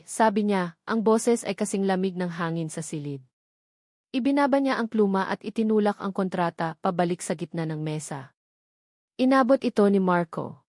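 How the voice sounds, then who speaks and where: A woman with a Filipino accent reading a scripted romantic drama as a narrator, in a measured, solemn storytelling manner. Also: mid-range voice, darkish tone, dry recording, clean studio sound.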